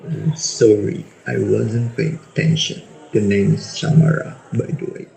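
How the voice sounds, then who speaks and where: Man playing a slow, creaky, guarded young student. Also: fairly low pitch, warm tone. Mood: reserved, casual. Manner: reserved, hesitant, terse. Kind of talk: amateur radio drama acting. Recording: roomy echo, faint music behind.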